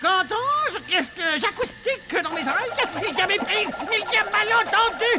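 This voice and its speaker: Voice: very high voice, expressive, normal speed. An actor playing a pompous, theatrical count who fancies himself a genius inventor in a French cartoon dub. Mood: indignant. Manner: pompous, theatrical, affected wording. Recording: music behind the voice.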